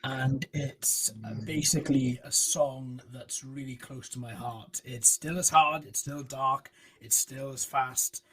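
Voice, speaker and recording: Soft-spoken, polite man. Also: mid-range voice, steady tone, slow, few pauses, husky, poor audio. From Wales. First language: Welsh. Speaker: metal musician guest. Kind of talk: interview answers.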